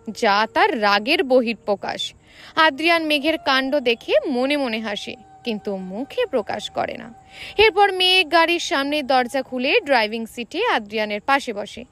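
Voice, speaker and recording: High-pitched, animated woman narrating a story. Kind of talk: expressive scripted narration of romantic fiction.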